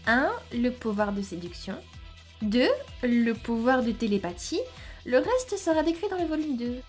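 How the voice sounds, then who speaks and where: A woman voices an eager teenage club schemer in an anime dub. The delivery is pushy and enthusiastic in a high register. Faint music runs underneath. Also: lively intonation, moderate pace, lightly acted. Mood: curious. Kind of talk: French anime fan dub acting.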